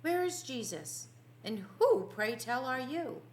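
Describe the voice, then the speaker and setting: Woman as bewildered disciple Peter, high-pitched alarm. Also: big pitch swings, slow pace, reverberant, a little hiss or hum, exaggerated voice. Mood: alarmed bewilderment. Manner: exclamatory, imploring.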